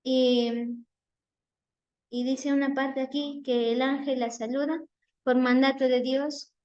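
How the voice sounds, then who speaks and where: Young woman at a faith seminar, slow and halting, high voice, slightly muffled recording. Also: little pitch movement, a little mellow. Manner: hesitant, many restarts and fillers. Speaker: seminar participant. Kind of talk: reflective talk on faith.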